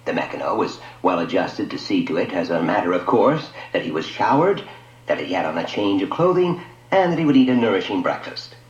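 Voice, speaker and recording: A man's voice: deep voice, big pitch swings, a little fast. A man narrating a scripted science fiction story in a dramatic radio storytelling style. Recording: dull lo-fi audio, echoey room.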